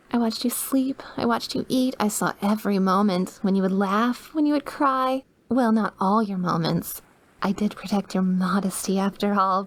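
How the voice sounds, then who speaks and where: A woman's voice: medium pitch, a little mellow. A woman playing an obsessive, lovesick, dying young witch in an intimate ASMR roleplay, with a clingy, pleading manner. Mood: adoring, obsessive.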